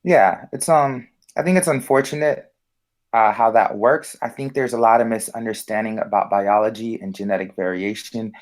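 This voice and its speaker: A man's voice: fairly low pitch, normal speed. A man co-hosting a casual discussion show, talking with frequent ums and restarts. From United States. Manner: hesitant, many fillers and restarts. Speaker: podcast co-host.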